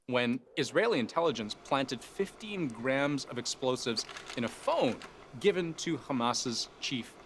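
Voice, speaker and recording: A man's voice: medium pitch, fairly bright tone, a little hiss or hum. A man hosting a news explainer in a conversational scripted style, posing rhetorical questions and stressing key phrases. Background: faint music.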